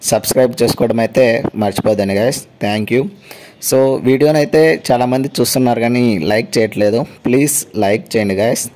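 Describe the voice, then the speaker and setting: A man's voice: mid-range voice, mellow. A man hosting an informal explainer video, addressing viewers directly and politely. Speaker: host of an exam information channel.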